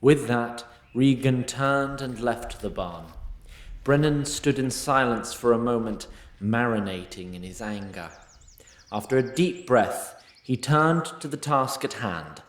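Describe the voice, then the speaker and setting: A male narrator tells a fantasy story at an unhurried pace, with wide swings in pitch. His delivery is measured and dramatic, sometimes wry, in an echoey, reverberant sound. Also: lively intonation.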